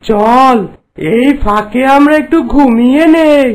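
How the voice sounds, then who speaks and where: A man's voice: extremely high pitch, takes it slowly, pitched above the performer's own voice. A man voicing a lazy, drawling demon henchman in an exaggerated cartoon style. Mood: lazy, agreeable.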